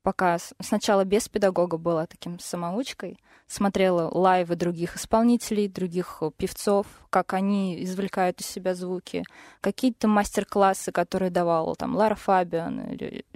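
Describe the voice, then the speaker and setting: A woman's voice: lowish voice, steady tone, moderate pace, darkish tone, dry recording. A young woman, a singer, talking casually and a bit hesitantly as a studio guest about her musical path. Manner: hesitant, thoughtful, with fillers. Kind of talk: casual interview talk.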